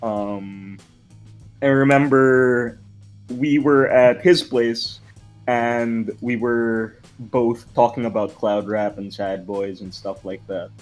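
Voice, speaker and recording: Young guy from the philippines, slow easy talk, faint music behind, video call chat. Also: mid-range voice, steady tone. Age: young adult. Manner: laid-back, laughs often, trails off. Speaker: music group member. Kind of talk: casual interview chat.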